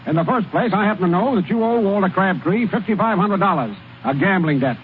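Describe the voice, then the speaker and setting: Casting: skeptical, blunt team boss, high voice, old tinny radio play. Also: male voice, animated, fairly quick. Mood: skeptical, firm. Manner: firm, blunt, dismissive. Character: stern, skeptical male baseball club boss. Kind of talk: old-time American radio drama acting. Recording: thin, noisy vintage radio broadcast recording.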